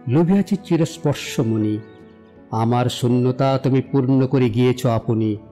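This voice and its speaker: An actor playing a self-assured young romantic in an audio drama, speaking in a poetic, declamatory and philosophizing way. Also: male voice, mid-range voice, unhurried, warm tone, lightly acted. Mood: solemn, poetic. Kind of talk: dramatized audio story acting. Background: background music.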